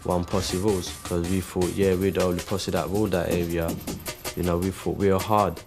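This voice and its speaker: A man's voice: sits low, little pitch movement, normal speed, music in the background. A young man, giving a personal account as an interviewee. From Bangladesh. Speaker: documentary interviewee. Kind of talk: interview recollection.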